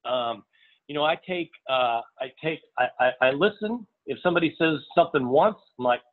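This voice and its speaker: A middle-aged man, a veteran restaurant chef, answering interview questions in a halting way with many fillers and repeats. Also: fairly high pitch. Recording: tinny phone-like audio.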